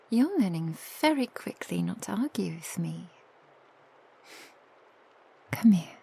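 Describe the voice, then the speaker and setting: A woman voicing a protective, tender vampire girlfriend in an ASMR roleplay, soft, intimate and gently teasing. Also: on the low side, very animated, takes it slowly, gravelly, slightly rough, exaggerated voice. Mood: soothing, teasing.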